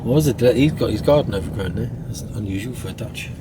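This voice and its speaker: A man's voice: on the low side, fast. A British man chatting and joking as a passenger on a car trip, teasing his partner while describing the town they drive through. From United Kingdom. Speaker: vlogger. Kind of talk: casual travel vlog chat. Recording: noisy car recording.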